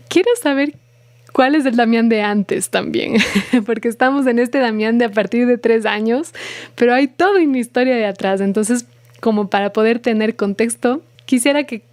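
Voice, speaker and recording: A woman speaking as a podcast host, interviewing a guest in a conversational way. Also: on the high side.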